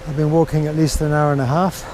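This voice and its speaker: A man talking casually to his camera as he walks a mountain trail outdoors, reflective and unhurried, with halting phrases. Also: on the high side, flat delivery, darkish tone. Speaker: hiker filming his own walk. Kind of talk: casual walking vlog narration. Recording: noisy outdoor camera audio.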